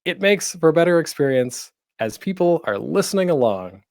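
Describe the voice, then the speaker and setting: A man's voice: on the high side, sing-song, normal speed, dry recording, clean studio sound. A man presenting an instructional panel in a casual, informal way, often breaking off into asides. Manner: informal, self-interrupting, tangential asides.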